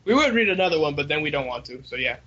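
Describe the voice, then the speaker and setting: A man's voice: fairly high pitch, sing-song, racing, pushed and bright. A young adult man chatting casually as co-host of a fan podcast over a voice call. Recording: dull lo-fi internet call.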